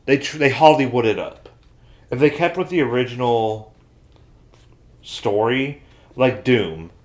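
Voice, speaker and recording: A man's voice: medium pitch, unhurried. An American man hosting a casual panel chat. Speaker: podcast host.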